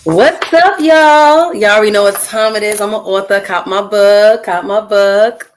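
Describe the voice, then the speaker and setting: A woman author on a live chat panel, loud and hyped. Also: medium pitch, unhurried, slight background noise. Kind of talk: casual chat.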